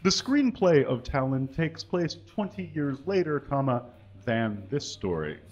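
A man reads aloud at a stand mic on a small curtained stage, with a deadpan, mock-earnest manner. His voice sits in the middle range, and the live recording sounds a little dull. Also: mid-range voice, measured pace. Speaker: guest reader. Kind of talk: comic dramatic reading.

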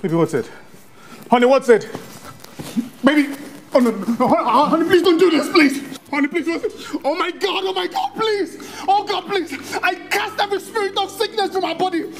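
An actor playing a devoted husband in an emotional film drama, pleading, repetitive and overwrought. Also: male voice, sits high, big pitch swings, fairly quick, crowd noise, low-quality recording. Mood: frantic grief.

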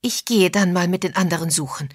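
A woman's voice: on the low side, brisk, husky, no echo, studio quality. A woman voicing an unhappily married woman who is embarrassed and confiding, earnest and confessional, in an audiobook.

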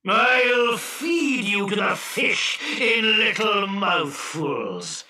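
A man voicing an enormous, wrathful genie in a storybook, with a booming, gravelly voice and slow, theatrical bellowing. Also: big pitch swings, sharp tone, full character voice. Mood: menacing. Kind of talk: children's storybook character voice. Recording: echoing, distant-sounding reverberant voice.

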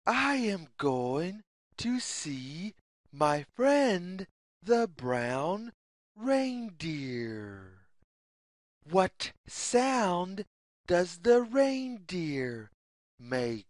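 A woman reads a children's picture book at an extremely slow, deliberate pace. Her slightly low voice rises and falls widely in a sing-song pattern, with each short phrase set apart. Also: sing-song, no echo, clean studio sound. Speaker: children's story reader. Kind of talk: read-aloud picture book narration for young children.

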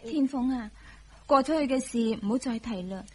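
An actress playing a gentle, coy young wife who keeps the peace, in a Hong Kong Cantonese radio drama. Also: female voice, on the high side, expressive, normal speed, close to an everyday voice. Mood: gently chiding. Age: young adult.

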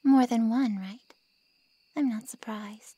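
A woman playing a haughty, callous ancient vampire in an ASMR roleplay drama, with mocking sarcasm and a breathy drawl. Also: on the high side, takes it slowly, warm tone, dry recording. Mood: dismissive.

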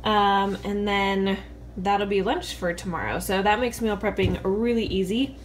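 A woman's voice: medium pitch, steady tone, a little slow, a little hiss or hum. A woman in her thirties talking informally to camera as a fitness vlogger, chatty and thinking aloud as she demonstrates things in a home kitchen. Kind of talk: casual chat to camera, product demonstration.

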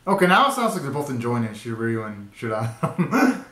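A man's voice: medium pitch, big pitch swings, moderate pace, a bit bright. A young man giving casual, slangy and exclamatory reaction commentary as a video host, recorded in a small bedroom. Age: young adult. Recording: roomy, echoey bedroom sound.

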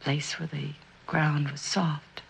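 An actress playing a middle-aged, genteel, grieving widow in a mid-century suspense drama, speaking haltingly and gravely in a husky, breathy voice. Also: female voice, sits low, little pitch movement, slight background noise, exaggerated voice. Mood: haunted, halting. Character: haunted, grieving widowed mother, genteel and fearful.

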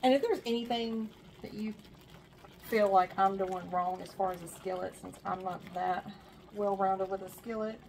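Woman, home cooking vlogger, gravelly edge, chatty and self-deprecating, noisy kitchen audio. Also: medium pitch, fairly even intonation, moderate pace, a little mellow. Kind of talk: casual cook-along narration. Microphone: camera overhead, speaker partly off frame.

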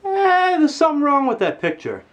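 A man's voice: wildly swooping pitch, normal speed, fairly bright tone. A teenage boy ranting to his webcam in his bedroom, with comedic, exaggerated anger and lots of swearing. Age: teens. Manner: exaggerated angry ranting, swearing, pitch jumping high when outraged. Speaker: vlogger. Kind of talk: comedic rant to camera. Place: bedroom, indoors.

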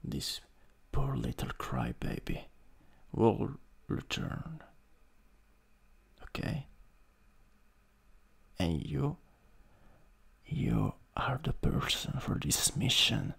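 Man acting a sadistic vampire master, Italian accent, extremely slow drawl, breathy husky half-whispers, swooping intonation, menacing ASMR roleplay. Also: lowish voice, wildly swooping pitch, a bit bright, heavily acted. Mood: coldly menacing. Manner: slow, menacing, theatrical, chuckling. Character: mean, sadistic vampire master taunting a captive.

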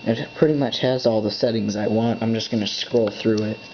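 Guy mumbling to himself while fiddling with buttons, gravelly low voice, flat delivery, dull lo-fi camera audio w faint hum. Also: brisk. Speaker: hobbyist setting up a device. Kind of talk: off-the-cuff thinking aloud. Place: indoors at a desk.

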